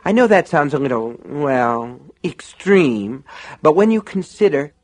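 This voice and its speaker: A man's voice: expressive, a little slow. A man voicing a devilish shopkeeper in an audiobook, a soothing tempter who is pleasant, persuasive and can turn harsh. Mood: soothing, coaxing. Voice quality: low, soothing, turning harsh.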